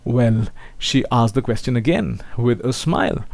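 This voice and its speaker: A man's voice: mid-range voice, unhurried, gravelly, a little mellow, dry recording. A man teaching maths in an online lecture, addressing students directly and often checking for agreement. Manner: seeks agreement with frequent tag questions; addresses listeners as children.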